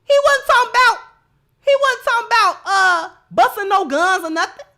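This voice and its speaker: A woman's voice: very high-pitched, lively intonation, moderate pace, pushed and bright. An American woman host giving opinionated commentary in informal, colloquial speech, emphatic and repeating phrases to drive a point home. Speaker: livestream host.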